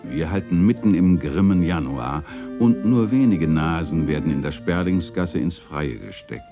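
An actor playing a reflective, nostalgic chronicler narrating a literary radio play in a measured, contemplative way. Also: male voice, a bass voice, lively intonation, music in the background. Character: reflective, nostalgic male chronicler of an old city lane.

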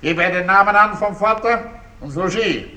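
An actor playing a stern, suspicious police commissioner in a German radio play, commanding and interrogating. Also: male voice, high voice, normal speed, bright, forceful tone. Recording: roomy, slightly distant, hissy radio recording.

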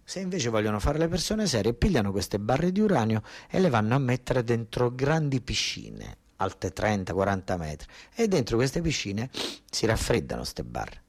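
A male stage storyteller with a southern Italian accent and a middle-pitched voice. He delivers a monologue in everyday, colloquial speech, hammering points home by repeating them. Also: wildly swooping pitch, moderate pace, a little mellow. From Basilicata, southern Italy. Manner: colloquial, insistent, repeating phrases for emphasis. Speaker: actor-storyteller. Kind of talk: narrative theatre monologue.

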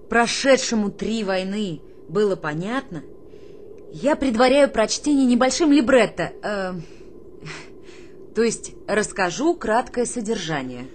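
A woman's voice: mid-range voice, slight background noise. A woman playing a dreamy, plaintive young soldier with poetic, theatrical delivery in a radio drama. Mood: hesitant, resigned. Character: young male conscript, a dreamy, pleading, whiny would-be playwright.

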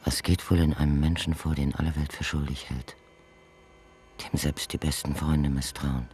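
An actor playing a cold, methodical official of a computer justice system in a German radio drama, speaking in a measured, insistent way. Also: male voice, a bass voice, fairly even intonation, a little mellow, a little hiss or hum. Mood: suspicious, brooding.

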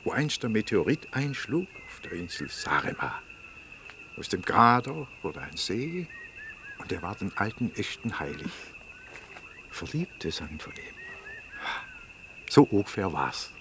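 Radio drama: enthusiastic, tender inspector, very lively intonation, insistent. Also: male voice, fairly low pitch, a little slow, long pauses, a little hiss or hum, heavily acted. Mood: tender, hushed. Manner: eager, insistent, repeats himself. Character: enthusiastic, tender male police inspector and choir member. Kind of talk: naturalistic radio drama acting.

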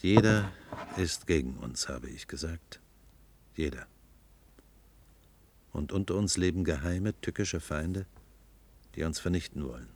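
An actor playing a fanatical demagogue in a German radio play, oratorical and grandiose, stirring oppressed workers to revolt with emphatic, stretched stresses. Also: male voice, extremely low pitch, expressive, a little slow, long pauses, darkish tone, a little hiss or hum. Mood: menacing, rallying.

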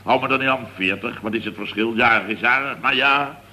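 A man's voice: mid-range voice, brisk, sharp tone, slight background noise. A man playing a blustering, muddled contractor boss in 1970s radio comedy, flustered, stammering and repeating himself. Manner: flustered, repetitive, stammering restarts.

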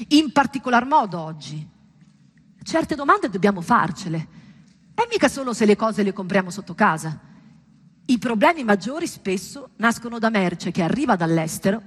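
A woman delivering a theatrical monologue as a stage performer, in a dramatic, deliberate and emphatic manner. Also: medium pitch, very animated, husky.

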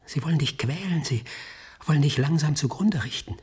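An actor playing an embittered, defiant scientist in a German radio drama, with a husky, breathy voice and a grave, intense delivery. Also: male voice, fairly high pitch, lively intonation, a little fast, a little mellow. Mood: anguished, tender.

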